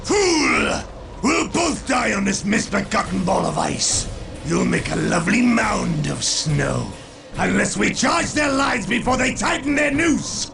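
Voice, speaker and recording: An actor playing a bloodthirsty, arrogant warlord in a video game, with a bombastic, menacing delivery. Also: male voice, high-pitched, lively intonation, measured pace, vocal fry, music in the background, exaggerated voice. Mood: furious. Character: bloodthirsty, arrogant male Chaos warlord, warrior fanatic. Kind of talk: theatrical video game voice acting.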